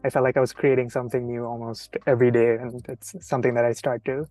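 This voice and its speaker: A young man, a designer from Sri Lanka, chatting on a video call in a relaxed way with many "like"s and "um"s. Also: mid-range voice, quick pace, no echo, soft background music. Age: young adult. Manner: relaxed, says "like" and "um" a lot. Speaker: guest graphic designer. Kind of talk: casual livestream chat.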